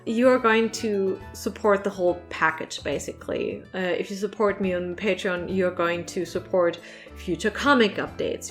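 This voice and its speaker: Female vlogger, mid-pitched, informal and rambling, self-deprecating channel host chatting to camera, music bed underneath. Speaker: online creator and channel host. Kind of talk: casual chatty Q&A vlog to camera.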